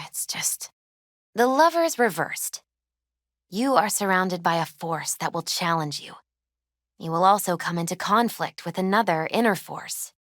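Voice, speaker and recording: A wistful young woman reads tarot as a video game character. Her voice sits a little low and is gentle and measured, with an earnest, spiritual air tinged with grief. The sound is very dry and intimate. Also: no echo. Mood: uneasy, confiding, then solemn, mystical.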